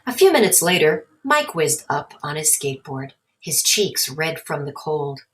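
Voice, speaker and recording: A woman's voice: low-pitched, sing-song, unhurried. A woman narrating a children's story aloud as a storybook reader.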